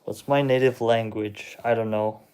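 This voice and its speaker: A young man whose first language is Czech, hosting a casual livestream and answering chat in a slow, hesitant, shrugging way. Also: fairly low pitch, steady tone, a little mellow, smooth. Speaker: livestream host reading chat.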